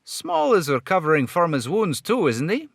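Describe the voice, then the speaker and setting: A man voicing an eager, chatty police sidekick in an old radio serial style, excitable and folksy. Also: on the high side, moderate pace, vocal fry, no room sound, clean studio sound, voice pushed up, heavily acted. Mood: eager, curious.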